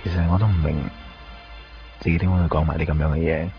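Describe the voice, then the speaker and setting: A man's voice: extremely low pitch, fairly even intonation, fast. A man playing a subdued, world-weary suspect in a radio drama, restrained, with a Hong Kong Cantonese accent. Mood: confessional, regretful. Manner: quiet, restrained, brooding. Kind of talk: 1990s radio drama acting. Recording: dull radio recording with music behind.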